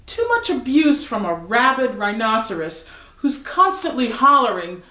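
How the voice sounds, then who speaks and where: A woman reading poetry aloud in a dramatic, deliberate way, with emphatic pauses. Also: a little high, sing-song, pushed and bright. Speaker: poetry reader. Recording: roomy, echoing recitation.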